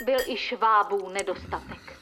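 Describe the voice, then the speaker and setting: A woman's voice: medium pitch, sharp tone, a little hiss or hum, natural voice. A woman playing an earnest documentary presenter in a radio play, delivering a formal, scripted report. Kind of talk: scripted narration within radio drama.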